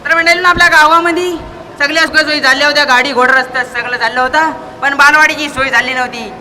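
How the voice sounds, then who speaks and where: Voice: high-pitched, steady tone, quick pace, bright, forceful tone, a little hiss or hum. A performer playing an earnest village speechmaker, reciting a rehearsed oration in a live school stage comedy. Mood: declamatory. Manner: oratorical, recited, addressing the villagers. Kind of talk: declamatory school stage comedy acting in Marathi.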